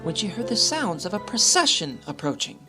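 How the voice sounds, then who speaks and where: A woman's voice: medium pitch, very animated, a little fast, pushed and bright. A woman giving scripted storybook narration as the narrator of a radio play. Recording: music behind the voice.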